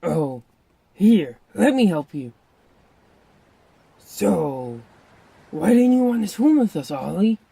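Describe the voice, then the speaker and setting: Casting: grumbly but kindly puppet sidekick, acted out, husky, slow drawl, dry close sound. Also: mid-range voice, sing-song, dark tone, heavily acted. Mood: curious, caring. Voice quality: raspy, creaky. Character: wisecracking, grumbling, kindly packrat puppet pal. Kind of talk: children's puppet show voice acting.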